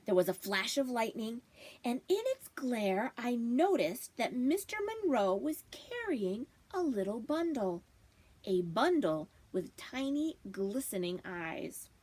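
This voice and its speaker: A woman reading a story aloud in an expressive, animated storybook style. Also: on the high side, takes it slowly, slightly rough. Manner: animated, acting out dialogue. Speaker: librarian reading aloud to children.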